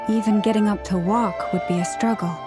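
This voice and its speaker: A woman voicing a composed, serious, analytical ally in a video game, speaking in a measured, matter-of-fact way. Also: mid-range voice, steady tone, music in the background, natural voice. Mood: composed concern.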